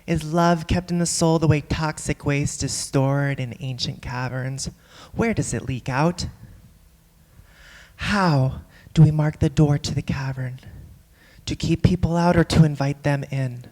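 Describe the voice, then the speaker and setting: An actress playing an earnest, melancholy, philosophizing online video reviewer in a staged monologue, measured, deadpan and musing. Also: female voice, sits low. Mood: brooding. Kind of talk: live staged play monologue. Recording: echoey theatre hall, live stage.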